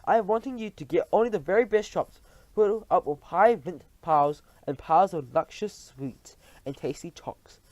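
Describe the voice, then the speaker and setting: Grand High Witch, shrieking and bossy, as a man puts her on. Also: moderate pace, darkish tone, no echo, highs cut off, close to an everyday voice. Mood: commanding. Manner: shrieking, bossy, stilted odd grammar. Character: tiny, tyrannical, furious female witch leader. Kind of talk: reading a storybook aloud.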